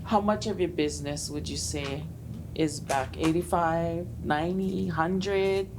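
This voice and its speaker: A woman's voice: lowish voice, steady tone, measured pace. A woman from Hawaii hosting a casual interview, asking friendly, playful questions. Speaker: host. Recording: background noise.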